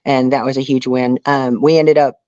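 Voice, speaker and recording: A woman's voice: a bass voice, steady tone, normal speed, warm tone, no echo. Female interview guest, earnest and conversational, close dry sound on a slightly muffled lo-fi recording. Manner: earnest, peppered with "you know". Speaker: interview guest, reality survival show contestant. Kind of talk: casual interview storytelling. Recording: dull lo-fi audio.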